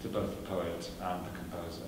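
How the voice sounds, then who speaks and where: A man whose first language is Welsh, a performer speaking in a measured, thoughtful way with many fillers and restarts, heard from a distance in a large lecture hall. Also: sits low, completely flat delivery, background noise. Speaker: performer giving a talk. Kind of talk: lecture answering audience questions. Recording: echoey hall recorded from a distance.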